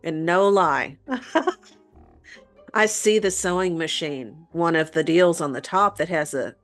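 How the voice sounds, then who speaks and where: A woman co-hosting a show in casual chat, speaking in an informal, expressive way. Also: low voice, slow pace, soft background music. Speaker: podcast co-host.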